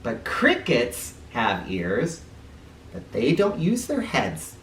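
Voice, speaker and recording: Animated, slow-paced man reading to children. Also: mid-range voice. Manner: playful, explanatory, addressing young listeners with questions. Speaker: children's book reader and teacher. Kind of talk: animated read-aloud with asides for children. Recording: roomy, distant mic, faint noise.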